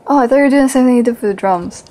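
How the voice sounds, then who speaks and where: A woman's voice: a little high, very fast, warm tone, slight background noise. A woman vlogger talking casually, as if to viewers of her daily vlog.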